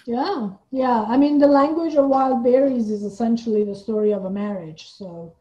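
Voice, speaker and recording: A woman's voice: on the high side, moderate pace. A woman from Iran chatting casually as an interview guest, heard through a somewhat dull, lo-fi recording. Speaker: guest, playwright and artistic director.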